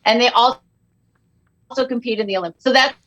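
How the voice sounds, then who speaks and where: A middle-aged woman, a comedian guest, bantering on a podcast in an animated, jokey, exaggerated way. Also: on the high side, fast talker, muffled recording.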